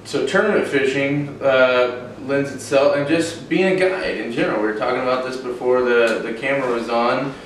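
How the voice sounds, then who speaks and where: A man's voice: mid-range voice, moderate pace, hardly any pauses. A male host asks questions casually, circling and restarting as he goes. The sound is distant and echoey, with a faint hum of background noise. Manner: rambling, restarts his questions. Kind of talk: casual roundtable discussion. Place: dining room around a table. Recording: echoey room from far off.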